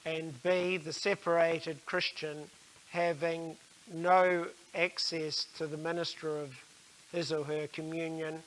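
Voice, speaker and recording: An older man giving an explanatory religious talk in a measured, halting way, with frequent pauses mid-sentence. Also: on the high side, flat delivery, fairly bright tone, slight background noise, poor audio.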